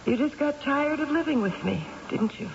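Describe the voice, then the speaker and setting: An actress playing an anguished, sleepless middle-aged wife with a wry bitter edge, in a dramatic, halting old-time radio style. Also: female voice, high-pitched, animated, gravelly. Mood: wounded, sardonic. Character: anguished, insomniac wife and mother, wryly bitter. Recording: muffled, noisy old radio recording.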